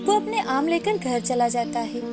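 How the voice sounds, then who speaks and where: Female narrator for children's moral stories, high voice, clear music bed. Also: lively intonation, moderate pace. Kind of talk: scripted storytelling narration of children's moral tales.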